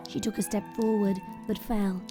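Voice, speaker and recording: A woman narrating a scripted audio drama in a measured, ominous storytelling manner. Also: mid-range voice, level tone. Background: music.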